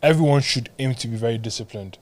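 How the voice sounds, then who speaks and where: A podcast co-host in a casual chat, with a voice of middle pitch. He lays out his point bit by bit and trips over the first words of his sentences. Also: quick pace. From Ireland. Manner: explains step by step, stumbles on starts.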